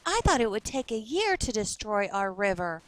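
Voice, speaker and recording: A woman's voice: medium pitch, very animated, normal speed, lightly acted. A woman reading the part of a concerned, earnest mother in a children's storybook. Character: concerned mother of a schoolgirl, admitting error.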